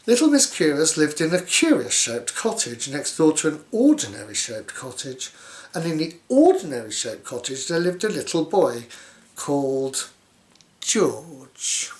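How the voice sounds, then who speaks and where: A man's voice: fairly high pitch, sing-song, unhurried, roomy sound. A man reading a children's story aloud, dropping in sarcastic, mocking asides as he goes. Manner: sarcastic, mock-commentating on the story. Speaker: storybook reader.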